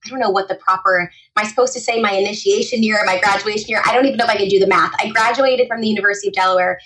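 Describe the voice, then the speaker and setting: A woman's voice: mid-range voice, steady tone, very fast, a bit bright, low-quality recording. A woman in her twenties speaking as a podcast guest in a casual interview, talkative and often rephrasing as she explains. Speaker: podcast guest, etiquette trainer and author.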